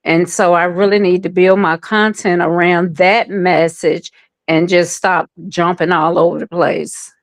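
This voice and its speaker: A woman's voice: lowish voice, fairly even intonation, measured pace, dry recording, clean studio sound. A woman taking part in a group discussion, sharing personal reflections in a conversational way. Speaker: participant in a group coaching discussion.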